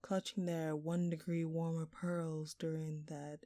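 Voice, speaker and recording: Woman acting as a slow, smug, flirtatious thief. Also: deep voice, flat delivery, mellow, no echo, clean studio sound. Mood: feigned innocence. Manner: teasing, theatrical, playfully sarcastic. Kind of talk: intimate scripted audio roleplay.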